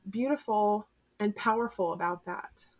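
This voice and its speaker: A woman's voice: mid-range voice, normal speed. A woman who teaches music, hosting a podcast in a friendly, conversational way. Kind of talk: podcast interview.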